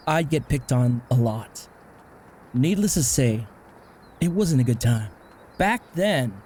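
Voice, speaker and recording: A man plays a middle-aged father in an amateur comic dub, easygoing and modest about himself. His voice sits at an average pitch and he talks casually and thoughtfully. There is noticeable noise behind him. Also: mellow, natural voice. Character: easygoing, self-deprecating middle-aged father, powerless author.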